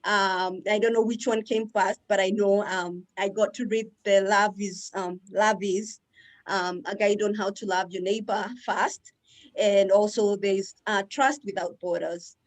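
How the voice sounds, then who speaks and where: A woman's voice: medium pitch, fairly even intonation, unhurried. A woman hosting an author interview, asking questions in a friendly, hesitant way with many fillers. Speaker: interview host. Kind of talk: casual interview talk.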